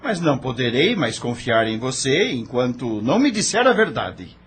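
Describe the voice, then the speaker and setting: A man's voice: medium pitch, sing-song, normal speed, fairly bright tone, breathy edge, heavily acted. A man playing a stern middle-aged father and doctor in an audio drama, questioning sharply. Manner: stern, interrogating. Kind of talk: radio drama acting.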